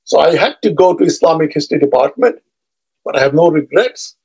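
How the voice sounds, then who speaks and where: A husky-voiced man in his seventies, with a voice that sits on the higher side for a man. His is Urdu, and he talks unhurriedly into a webcam from a home study, wandering between thoughts and filling pauses with "uh". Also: lively intonation, a little mellow. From Bangladesh. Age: 70s. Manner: measured, discursive, pausing often with "uh". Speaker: historian vlogger. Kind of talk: personal introduction lecture. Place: home study lined with bookshelves. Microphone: webcam at desk, seated medium shot.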